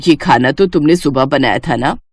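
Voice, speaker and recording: A woman's voice: deep voice, moderate pace, a little mellow, slight background noise, voice pushed down, close to an everyday voice. A woman voicing a proud older Indian mother-in-law, boastful and probing, in a moral story. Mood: pointed, grudging. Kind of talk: animated Hindi moral story dialogue.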